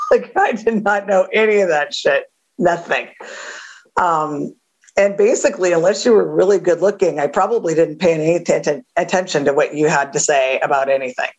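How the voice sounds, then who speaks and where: A woman with a gravelly voice giving a candid recovery share, halting with many fillers and a wry edge. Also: low-pitched, low-quality recording. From Southern California. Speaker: AA speaker.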